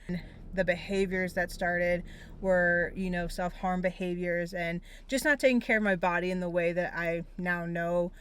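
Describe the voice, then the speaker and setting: Young woman, American accent, smooth clear voice, mid pitch, earnest, reflective, personal vlog monologue. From Pennsylvania, United States. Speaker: therapist sharing her life story. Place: inside a parked car on a rainy day.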